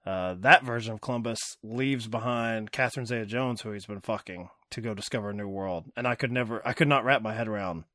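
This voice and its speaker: A man's voice: fairly low pitch, a little fast, few pauses, bright, forceful tone, no room sound, clean studio sound. A man hosting a casual movie-review podcast, rambling wryly and laughing often. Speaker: podcast host.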